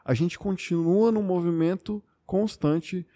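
A young man with a Brazilian Portuguese accent, co-hosting a casual podcast chat and telling stories in an animated, reflective way, often repeating himself. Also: sits high, a little slow. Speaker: podcast co-host.

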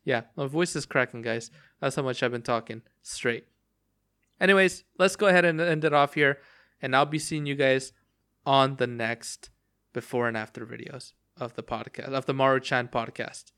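A man's voice: mid-range voice, moderate pace, fairly bright tone. A young man hosting a casual solo podcast about a game, rambling with lots of fillers and restarts, his voice strained and cracking from long talking. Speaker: podcast host.

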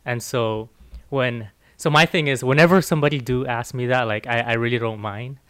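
A man's voice: mid-range voice, moderate pace, fairly bright tone, smooth, no echo. A man from Hawaii co-hosting a podcast, laid-back and easygoing, reacting with short "nice" and "cool". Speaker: podcast co-host. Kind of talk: casual podcast chat.

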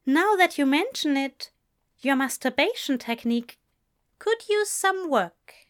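A woman's voice: high voice, big pitch swings, moderate pace, pitched above the performer's own voice. A woman voicing a prim, fussy male angel in an audio story, with a proper, fluttery manner. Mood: coy. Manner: fluttery, proper, hesitant when flustered.

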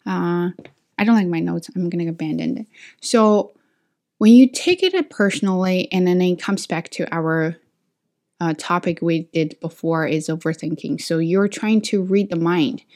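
Woman talking on her own podcast, english not her first language, kinda low voice, lots of uhs and restarts. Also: normal speed, a little mellow. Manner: thinking aloud, many fillers and restarts. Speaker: podcast host and teacher. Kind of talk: casual solo podcast talk. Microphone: camera mic.

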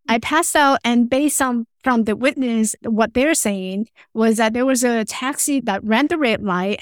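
A woman's voice: a little high, no room sound, clean studio sound. A woman from Taiwan, a podcast guest telling her life story earnestly, restarting phrases as she goes. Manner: earnest, halting, with frequent restarts and small grammar slips. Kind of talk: personal storytelling in conversation.

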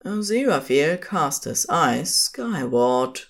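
A woman's voice: sits low, big pitch swings, slow. A woman reading scripted fiction as the narrator of an audio drama.

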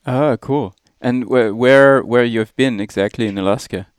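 A male podcast host with a clear, smooth voice in a dry recording space. He asks casual questions in a rambling way, restarting his phrases as he goes. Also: medium pitch, unhurried, no echo.

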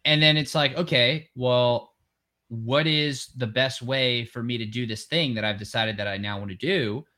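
A man hosts a casual podcast conversation in an average, mid-range voice. He reasons out loud in a measured, reflective way, laying out his ideas step by step. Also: medium pitch. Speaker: podcast host.

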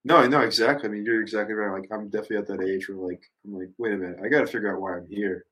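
Low-voiced, thoughtful young man. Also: fairly even intonation, very fast, fairly bright tone, husky, rough recording. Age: early 20s. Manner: rambling, youthful slang, thoughtful. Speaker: musician guest. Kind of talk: casual reflective interview chat.

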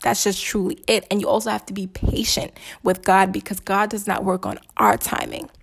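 A woman's voice: medium pitch, little pitch movement, moderate pace, creaky, breathy edge. A young woman telling a personal faith testimony in a chatty, slangy way, repeating phrases for emphasis and preaching casually as she goes. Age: young adult.

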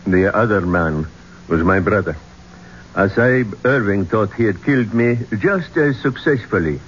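A man's voice: lowish voice, expressive, measured pace. An actor playing a grave, unforgiving man with stern, formal delivery in a 1970s radio drama. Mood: grim. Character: grave, unforgiving man speaking of his murdered brother. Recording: muffled, noisy old radio recording.

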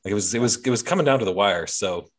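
Low-voiced guy hosting a hockey podcast, sarcastic, swears a lot. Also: racing. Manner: sardonic and swearing, with mock-dramatic scripted openings. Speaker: podcast host. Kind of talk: casual hockey chat.

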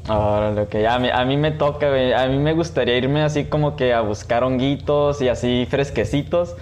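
A man's voice: medium pitch, a little fast, darkish tone, slight background noise. A man with a Mexican accent hosting a podcast in a casual, slangy, chatty way. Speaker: podcast host. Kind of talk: casual chat.

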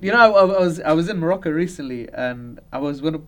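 Man, high voice, laughs a lot, animated host. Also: fast. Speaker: podcast host. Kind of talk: casual chat.

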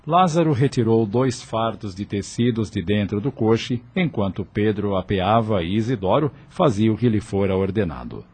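A man narrating a radio drama in a measured, steady way. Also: lowish voice, breathy edge. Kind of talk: scripted narration.

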